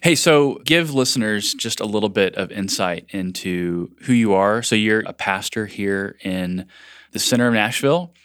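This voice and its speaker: A man with a somewhat low voice speaks as the host of a podcast. His delivery is conversational, in the manner of an interview. The sound is dry, with very little room around the voice. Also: moderate pace, a bit bright, clear, resonant tone, no echo.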